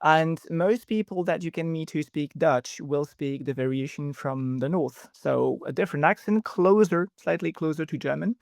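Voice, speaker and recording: A young adult man from Belgium, a native French speaker, explaining things in a measured way with many pauses as a podcast guest. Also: on the high side, creaky, no echo, clean studio sound. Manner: measured, explanatory, with frequent ums. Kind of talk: podcast interview.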